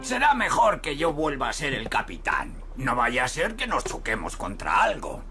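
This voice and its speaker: A blustering, creaky old grandpa pig captain. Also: male voice, medium pitch, wildly swooping pitch, normal speed, bright, forceful tone, soft background music, exaggerated voice. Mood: boastful. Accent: Spanish (Spain). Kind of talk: children's cartoon dub.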